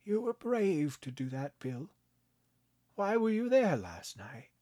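A man doing a calm frail old grandfather. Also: on the high side, no room sound, pitched above the performer's own voice, exaggerated voice. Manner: slow, gentle, unbothered.

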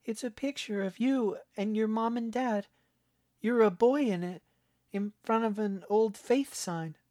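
A man narrating a story, voicing an earnest, worried young boy in a high, urgent voice. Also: a little slow, a little mellow, no room sound, clean studio sound. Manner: urgent, pleading boyish voice.

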